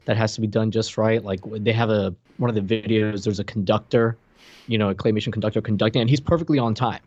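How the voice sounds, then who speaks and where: A man's voice: lowish voice, steady tone, quick pace, darkish tone, dry recording. A man in his thirties co-hosting a podcast from home in casual conversation. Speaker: podcast co-host. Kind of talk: casual chat. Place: indoor room at home, video call. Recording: slightly dull, dry close sound.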